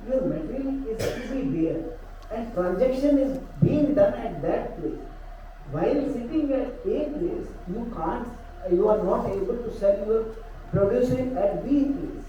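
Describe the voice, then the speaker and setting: A man with an Indian English accent speaking as a panelist, pausing often with fillers. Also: very high-pitched, expressive, mellow, a little hiss or hum. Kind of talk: panel discussion. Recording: echoey hall, dull distant mic.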